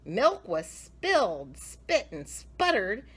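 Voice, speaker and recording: A woman reading a picture book aloud to children in a theatrical way, with dramatic emphasis and drawn-out words. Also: mid-range voice, wildly swooping pitch, breathy edge. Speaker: children's story reader.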